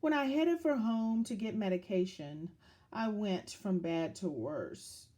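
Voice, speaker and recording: A woman reads a picture book aloud for children. Her delivery is slow and deliberate, with lively swings of pitch that dramatize the tale for young listeners. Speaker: children's story reader.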